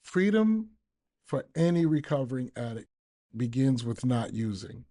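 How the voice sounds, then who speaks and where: A man reads a short devotional text at a slow pace. His voice is mellow and relaxed, with deliberate phrasing and pauses. It sounds like a scripted daily reading for a podcast. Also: mid-range voice. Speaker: podcast reader, recovering addict.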